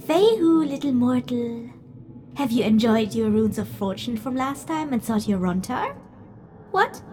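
High-voiced, teasing spirit fortune-teller. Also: female voice, lively intonation, slow pace, long pauses, warm tone, slight background noise. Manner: theatrical, teasing, chuckling. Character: teasing female spirit fortune-teller, playfully condescending to mortals. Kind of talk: audio drama acting, direct address to listeners.

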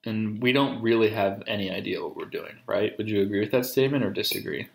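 A man's voice: on the low side, fast talker, vocal fry. A thirty-year-old man chatting casually and jokingly at home as he hosts a recorded conversation with his partner. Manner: joking, teasing. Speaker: podcast host interviewing his partner. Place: kitchen, at the table during a meal. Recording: roomy echoing home recording.

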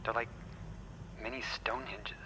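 Nervous nerdy tech guy, sharp bright voice, stammering, faint background noise. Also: medium pitch, flat delivery, normal speed, low-quality recording. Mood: anxious, insistent. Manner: hesitant, stammering. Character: nervous, nerdy male tech support worker. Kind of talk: naturalistic audio drama acting.

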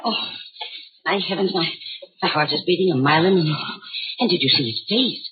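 An actress playing an anxious, proper, kind-hearted library worker, fretful and polite, in 1950s radio drama style. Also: female voice, on the low side, normal speed, slightly rough, soft background music. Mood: shaken. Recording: muffled, phone-like old radio recording.

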